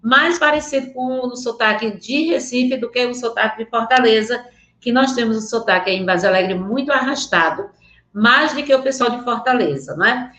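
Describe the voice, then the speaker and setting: Earnest Northeastern Brazilian woman teacher, slightly high voice, echoey room. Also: moderate pace, bright, forceful tone. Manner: earnest, checks in with students often. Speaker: Portuguese teacher. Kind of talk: online class lecture with slides.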